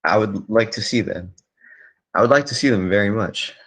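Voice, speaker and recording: Off-duty clown for radio drama , male actor: low voice, grave, courteous, hesitant. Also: normal speed, a bit bright. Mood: grave, intent. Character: solemn, polite male circus clown out of makeup. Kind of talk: 1940s radio play acting.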